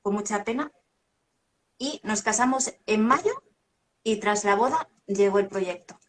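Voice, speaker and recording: A woman's voice: mid-range voice, expressive, a little slow, background noise. A woman in her thirties, a guest evangelist, giving a personal testimony in an earnest, reflective way with hesitant restarts.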